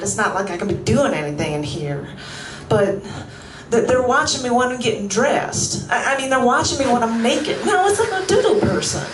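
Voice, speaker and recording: A woman's voice: a little high, far from the mic, background noise, natural voice. A woman performing a live stage monolog as a confined, restless woman who talks in a put-on Southern accent, rambling and stammering with nervous laughs. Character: confined woman, chatty, restless, feigning craziness. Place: coffeehouse stage before a live audience. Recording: roomy room, mic set back.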